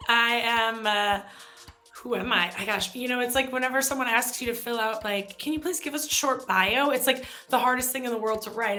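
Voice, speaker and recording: American woman in her thirties, bright and fairly high voice, chatty guest with faint music behind. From United States. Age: 30s. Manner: chatty, self-deprecating, lots of fillers. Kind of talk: casual video chat.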